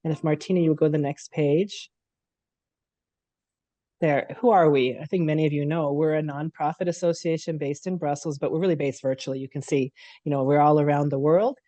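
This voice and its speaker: Woman with a cold, dark dry voice. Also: low-pitched, fairly even intonation, fairly quick, no echo. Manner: conversational, speaks straight to the audience. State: has a cold. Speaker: CEO of a nonprofit. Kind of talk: webinar welcome talk. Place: her apartment. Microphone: video call.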